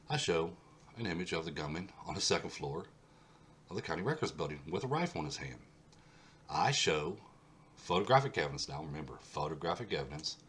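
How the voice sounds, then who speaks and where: A man with an extremely low voice, stuffed up and congested, hosts his own history channel. He walks through a map and keeps hammering home his points by repeating them. A faint hum sits under the recording. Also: fairly bright tone. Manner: insistent, repeats points for emphasis. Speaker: host of a history mystery channel. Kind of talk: solo video talk over a map.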